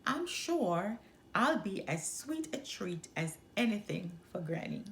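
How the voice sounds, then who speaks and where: A woman's voice: medium pitch, very animated, slow pace, a little hiss or hum. A woman voicing a sweet, cheerful, easily distracted little girl in a storybook reading. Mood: breezy.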